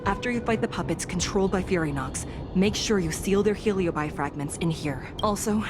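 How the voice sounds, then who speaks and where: A woman voicing a formal, composed and solemn official in an anime game, speaking in a measured way. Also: on the low side, close to an everyday voice. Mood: formal, instructive. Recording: loud game music behind.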